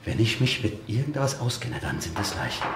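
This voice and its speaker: An actor playing a gruff, sardonic male morgue attendant in a German radio drama, dry and colloquial. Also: on the low side, big pitch swings, a little fast. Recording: echoey room, noisy ambience.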